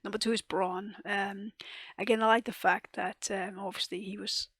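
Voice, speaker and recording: A woman reviewing a TV episode in casual chat, losing her voice, often clearing her throat and hesitating with ums. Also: mid-range voice, little pitch movement, moderate pace, no echo, clean studio sound. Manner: hesitant, many ums and restarts, soft half-whispered delivery. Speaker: TV reaction and review host.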